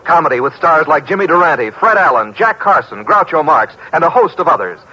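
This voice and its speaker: A man's voice: on the high side, lively intonation, a little fast, pushed and bright, slightly rough. A man reading closing credits and an upbeat network promo in 1940s radio announcing style. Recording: dull lo-fi 1940s radio broadcast.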